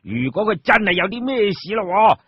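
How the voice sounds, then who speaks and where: Man acting a scolding old Cantonese uncle, husky. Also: high voice, sing-song, fairly quick, no room sound, lo-fi sound, pitched above the performer's own voice, full character voice. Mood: suspicious, insistent. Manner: scolding, insistent, questioning. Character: stern, exasperated elderly uncle, emotional and suspicious. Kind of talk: Cantonese radio drama acting.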